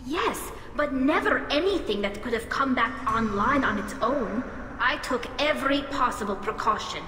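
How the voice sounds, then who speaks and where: An actress playing a young, earnest alien engineer in a video game, speaking precisely and a little defensively. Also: female voice, sits high, lively intonation, normal speed, pushed and bright, slight background noise. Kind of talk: video game dialogue acting. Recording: echoey reverberant space, music behind.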